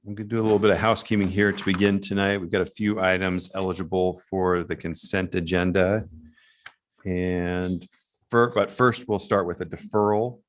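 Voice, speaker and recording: Male committee chair, deep voice, formal and procedural delivery. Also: flat delivery, moderate pace, slight background noise. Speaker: commission chair running a public hearing. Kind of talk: formal meeting procedure, partly read out.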